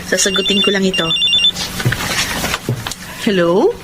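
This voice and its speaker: A woman playing a kind, polite young tutor with a Filipino accent in a radio drama, friendly and expressive. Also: mid-range voice, a little slow, heavy background noise, close to an everyday voice. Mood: gentle. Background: music.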